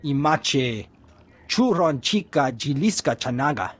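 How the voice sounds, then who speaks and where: An alien henchman in a sci-fi game. His voice is raspy and mid-pitched as he talks in an invented language. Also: big pitch swings, unhurried. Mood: brusque. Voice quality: husky.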